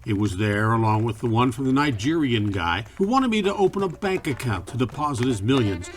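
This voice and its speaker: A man playing a wry, world-weary private detective, narrating deadpan in a campy noir radio play. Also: mid-range voice, a little fast, faint music behind, natural voice. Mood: wry narration.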